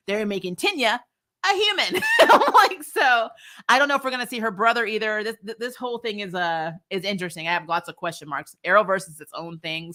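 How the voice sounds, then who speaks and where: A woman's voice: mid-range voice, bright, forceful tone. A woman hosting a recap show, reviewing in a casual, chatty, slangy way and animatedly retelling things. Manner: chatty, slangy, punctuated with laughter.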